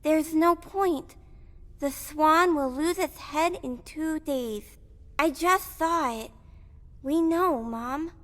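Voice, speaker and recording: A woman's voice: sits high, slow, a bit bright. A woman playing a childlike, imploring young daughter in a video game cutscene. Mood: eerie, grave. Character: pleading young daughter, a girl existing in mother's head.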